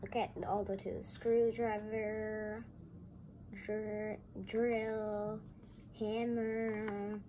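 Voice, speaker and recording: A woman reading a picture book aloud and adding chatty, exclamatory comments in a halting way. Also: medium pitch, flat delivery, warm tone. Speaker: read-aloud host. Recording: noisy amateur home recording.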